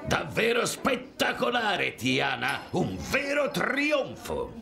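A man playing a big, pompous, doting rich father in an animated video game, booming and grandiloquent. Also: slow, gravelly, a crowd in the background, exaggerated voice. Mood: jovial, proud.

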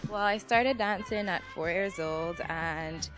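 A woman dancer answers backstage questions in a slightly low voice, pausing hesitantly as she goes. Music plays clearly behind her. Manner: hesitant, with light laughs. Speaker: dancer in talent show. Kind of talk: backstage interview. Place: convention backdrop, handheld mic.

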